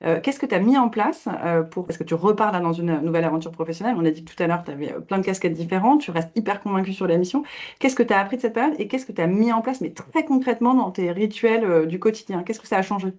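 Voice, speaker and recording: A woman's voice: mid-range voice, fast, a little mellow, muffled recording. A woman hosting an informal interview, eagerly interjecting and rephrasing in a conversational way. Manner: eager, interjects often, rephrases the guest's words. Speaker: interviewer, event host.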